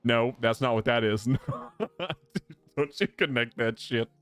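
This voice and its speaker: A man's voice: medium pitch, slow, fairly bright tone, no echo. Irreverent male game streamer over music. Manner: irreverent, slangy, teasing. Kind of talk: casual joking live playthrough commentary.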